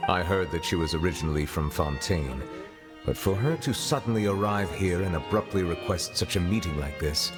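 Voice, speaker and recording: A very low male voice gives life to a courteous chief justice from a video game. He is formal and guarded, placing every phrase with measured precision while music plays prominently behind him. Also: animated. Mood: grave, cautious.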